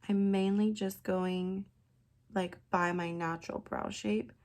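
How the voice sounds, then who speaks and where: A young woman with a raspy, congested voice from a sinus infection, chatting casually through a quick makeup tutorial with lots of fillers. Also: mid-range voice, fairly even intonation, darkish tone. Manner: chatty, rambling with many fillers. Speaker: beauty vlogger.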